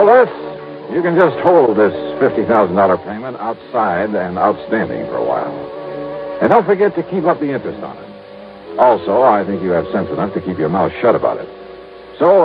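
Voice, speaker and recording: An American man playing a dogged, wry insurance investigator with clipped, punchy delivery in a 1950s radio drama. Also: medium pitch. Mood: brisk, wry. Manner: clipped, insistent, punchy questioning. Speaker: lead actor in a radio detective series. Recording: muffled, noisy vintage radio recording.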